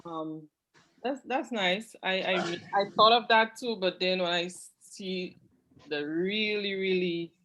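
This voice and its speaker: A woman's voice: mid-range voice, slow pace, bright, forceful tone, a little hiss or hum, rough recording. A woman with a Saint Lucian accent hosting an interview in a friendly, hesitant way, with filler sounds. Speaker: host.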